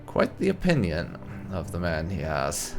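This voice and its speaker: A man's voice: deep voice, wildly swooping pitch, unhurried, gravelly, faint music behind. A man giving casual let's play commentary as a gaming host, musing aloud with wry asides and some roleplay.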